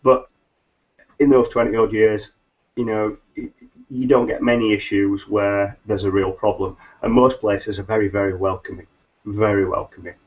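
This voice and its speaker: A middle-aged man, nasal and a little hoarse from hay fever, hosting a solo business webcast in a rambling way with many ums and restarts. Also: on the low side, level tone, normal speed. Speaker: business consultant host. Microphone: webcam mic on a video call. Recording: slightly dull lo-fi webcam audio.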